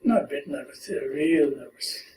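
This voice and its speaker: A man in his seventies with a Pakistani accent, telling stories from his life in an interview with gentle humor. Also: a little high, lively intonation. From born in India, raised in Pakistan. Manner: unhurried, gently humorous storyteller who acts out quoted lines. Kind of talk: oral history storytelling. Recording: echoey room, far from mic, faint hiss.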